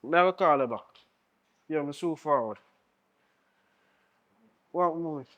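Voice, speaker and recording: A man's voice: on the high side, unhurried, muffled recording, close to an everyday voice. An actor playing a defiant, evasive young man, speaking slangy Jamaican English in a naturalistic, dismissive, argumentative way. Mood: guarded.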